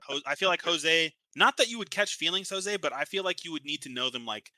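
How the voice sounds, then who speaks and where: Young dude arguing on a podcast, super bright voice, bounces around a lot, swears, dry mic. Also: male voice, on the high side, brisk, no echo. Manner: animated, blunt, argumentative, swears a lot. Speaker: podcast co-host. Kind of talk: casual chat.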